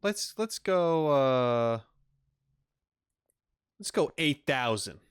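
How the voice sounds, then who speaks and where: Guy streaming a game, joking asides and fake outrage, really lively pitch but slow pace. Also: smooth, no room sound, clean studio sound. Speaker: gaming streamer. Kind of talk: casual game playthrough commentary.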